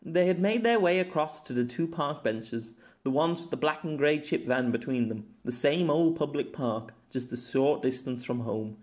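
A man's voice: on the high side, expressive, moderate pace, few pauses, a little mellow. A man reading a scripted story as an amateur audiobook narrator.

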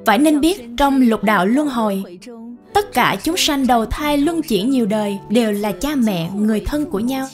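A woman giving scripted narration of a moral animated story. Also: a little high, moderate pace. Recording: music behind the voice. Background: music.

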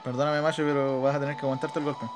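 A man's voice: mid-range voice, flat delivery, rapid-fire. A man with a Chilean Spanish accent giving casual, slangy live gameplay commentary, talking partly to himself as a gaming video host. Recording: game music behind. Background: video game music.

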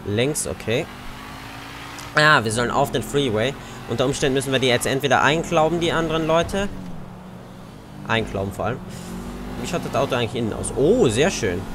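A young Austrian man chats casually while he plays, joking and swearing at other drivers. His voice moves lively up and down. Engine and street noise from the game sits clearly under him. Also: medium pitch, brisk, long pauses. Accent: Austrian German. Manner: chatty, cursing at other drivers. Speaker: let's play gamer. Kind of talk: casual gameplay commentary.

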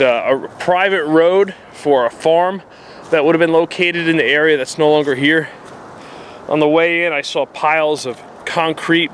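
A man's voice: a little high, fairly bright tone, a little hiss or hum. A man with a New Jersey accent casually describing what he finds while walking through the woods, talking into a handheld camera with plain, filler-dotted narration. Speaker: outdoor explorer vlogger. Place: woods outdoors.

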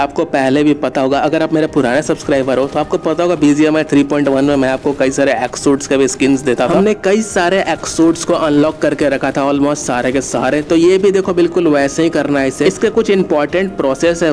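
A man's voice: a little high, darkish tone. A young adult man giving a casual gaming tutorial voice-over, addressing viewers informally and directly. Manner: informal direct address with repeated conversational tags. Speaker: gaming YouTuber.

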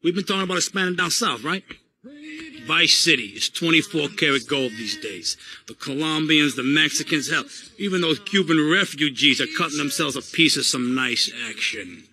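An actor playing a menacing, scheming middle-aged mob boss in a video game, assertive and fond of tagging orders with a questioning check. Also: male voice, on the high side, animated, normal speed, pushed and bright. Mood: scheming, commanding. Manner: assertive, punctuates orders with "okay?" and "right?". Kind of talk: video game cutscene acting.

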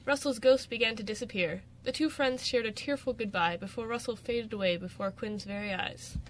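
A woman's voice: on the high side, a little fast, a little hiss or hum, poor audio. A young adult woman reading a scripted story recap aloud as narration. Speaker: student radio show cast member reading the recap.

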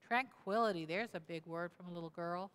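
A woman's voice: fairly low pitch, brisk, a bit bright, clean tone. A woman in her sixties, a pastor giving an interactive sermon at a lectern, asking the congregation questions and repeating their answers. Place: church sanctuary, indoors, at a lectern. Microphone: lectern mic, camera at mid distance. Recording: reverberant church hall.

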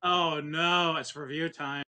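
A man's voice: fairly high pitch, fairly even intonation, takes it slowly, sharp tone, clean tone. A man playing a tabletop roleplaying game, chatting casually and jokingly with the group.

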